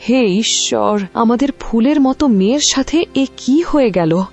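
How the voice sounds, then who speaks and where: Lady doing a tearful cartoon mom, highish voice, dull lo-fi sound w music. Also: animated, measured pace, darkish tone. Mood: pleading. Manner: tearful, begging. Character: grieving village mother, pleading.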